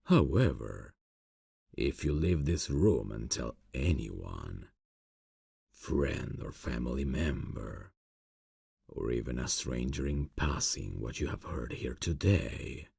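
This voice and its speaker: Man playing a very deep, gravelly vampire boss. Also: very animated, dark tone, no echo, studio quality, full character voice. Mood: secretive, menacing. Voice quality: gravelly, husky. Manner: slow, silky, formal, condescending endearments. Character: suave, sinister vampire boss, courteous but menacing. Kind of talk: ASMR fantasy roleplay.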